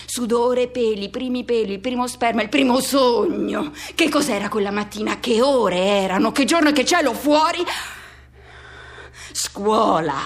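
A woman's voice: fairly high pitch, vocal fry. An actress playing a man who recalls his first teenage love in a radio theatre monologue, in fragmented, repetitive, poetic phrases. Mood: wistful, searching, then tense, remembering.

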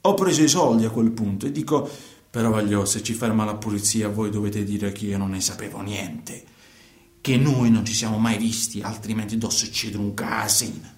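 An actor playing a guilty, self-justifying truck driver in a radio play, with a southern Italian accent and a halting, repetitive, colloquial delivery. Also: male voice, lowish voice, fairly even intonation, darkish tone, lightly acted. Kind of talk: radio theatre monologue, colloquial confession. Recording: echoey room.

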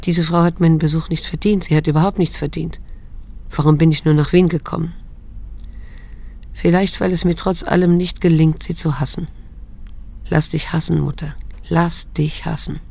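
Husky, weary grown daughter. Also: female voice, low voice, flat delivery, darkish tone. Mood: bitter. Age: middle-aged. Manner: restrained, measured, cool, introspective. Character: bitter, weary grown daughter, probing and self-controlled. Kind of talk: radio drama dialogue and inner monologue.

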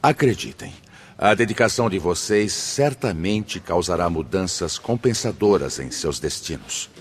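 Líder espiritual grave e rouco. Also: male voice, low voice, animated, husky, heavily acted. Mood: earnest, encouraging. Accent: Brazilian. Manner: formal, measured, solemn. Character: benevolent, authoritative male spirit leader addressing his brothers. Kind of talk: Brazilian radio drama acting.

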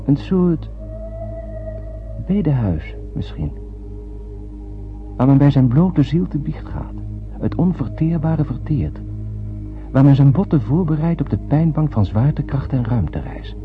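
A man's voice: fairly high pitch, animated, measured pace, long pauses. An actor playing a dreamy, solemn space wanderer who narrates his tale in a poetic, declamatory radio play manner. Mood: awed, searching. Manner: poetic, declamatory, dramatic, with hushed phrase endings. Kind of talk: scripted radio play monologue. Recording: tinny narrow-band radio recording with music.

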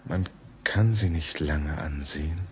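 An actor playing a reserved, cultured gentleman scholar, polite and pensive, in a German radio play. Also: extremely low pitch, a little slow, slightly rough, full character voice. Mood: uneasy, pensive. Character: reserved, cultured Parisian gentleman scholar visiting, uneasily fascinated.

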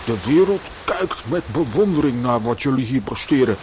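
A man's voice: mid-range voice, expressive, moderate pace, natural voice. An actor playing a bumbling, grandly enthusiastic cartoon man in a children's audio drama, pompous and theatrical. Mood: grandly admiring. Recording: noisy audio drama recording, hissing ambience.

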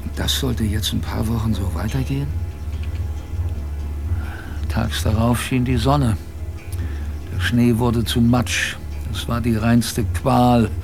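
An actor playing an older man in a radio drama, wryly recalling his youthful polar adventure with dramatic pauses and a gravelly voice. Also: mid-range voice. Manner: dramatic storytelling with weighted pauses and rhetorical questions. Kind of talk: first-person radio drama narration.

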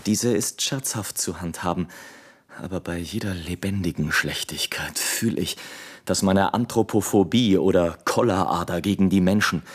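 Deep, husky brooding misanthrope. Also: male voice. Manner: literary, reflective monologue. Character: bitter, brooding misanthrope reflecting on mankind. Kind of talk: German radio play acting.

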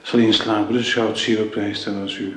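An actor in a radio drama playing a considerate doctor, halting, tactful and measured. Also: male voice, fairly low pitch, flat delivery, natural voice. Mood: grave, gentle. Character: considerate, careful hospital doctor breaking bad news. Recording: roomy echo, faint background noise.

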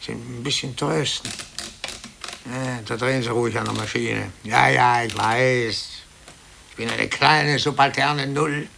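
An actor playing an aggrieved, self-pitying clerk in 1950s radio drama, brooding, grumbling and repeating himself when agitated. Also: male voice, medium pitch, fairly even intonation, unhurried, vocal fry, pushed and bright, exaggerated voice. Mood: dejected, self-pitying. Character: aggrieved, self-pitying male office clerk, resentful of his boss. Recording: hissy old 1950s radio tape.

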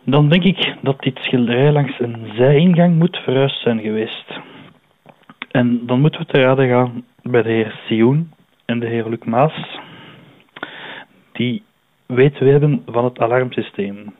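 A man's voice: mid-range voice, unhurried, long pauses. A man phoning in a tip as a listener, reasoning carefully and tentatively over a phone line.

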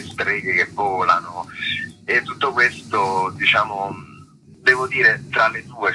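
A man's voice: sits low, pushed and bright. A man, an actor giving a reflective interview, searching for words and often rewording, over a noisy radio connection. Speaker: stage actor interviewed about a show.

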